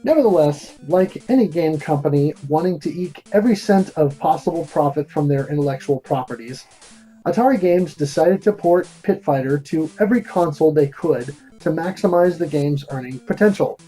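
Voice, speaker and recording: A man with a soft, dark and rather husky voice narrates a scripted retro game review. His delivery is wordy and wry, with a theatrical touch. Music plays behind him. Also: on the high side, moderate pace, rough recording.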